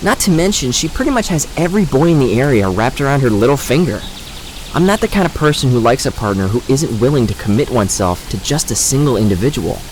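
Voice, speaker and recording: A man's voice: mid-range voice, very animated, fast, slight background noise, close to an everyday voice, poor audio. An actor playing a self-assured young man in an audio drama, teasing and articulate, earnest about loyalty.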